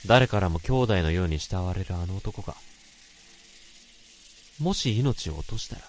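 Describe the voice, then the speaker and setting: A man's voice: deep voice, expressive, normal speed, a little hiss or hum. An actor narrating and playing a troubled male office worker in a radio drama, brooding inner monologue and guarded dialogue. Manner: inner monologue that swings into frantic outbursts. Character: tormented male office worker who hears others' thoughts. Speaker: narrator and protagonist.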